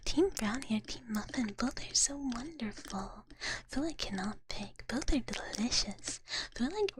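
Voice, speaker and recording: A woman whispering softly and breathily in casual ASMR chat close to the microphone, with a cutesy, girlish way of talking. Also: on the high side, lively intonation, a little slow, crowd noise. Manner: girlish cutesy talk, refers to herself in third person. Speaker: ASMR live streamer.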